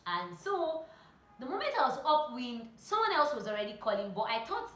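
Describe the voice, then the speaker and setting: A woman with a fairly high, very bright voice talks casually as she teaches on a vlog. She is animated and repeats phrases to drive points home. The sound is clearly roomy and echoey. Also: normal speed. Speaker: vlogger and pilot sharing training tips. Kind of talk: casual instructional vlog talk with acted-out anecdotes. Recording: roomy echo.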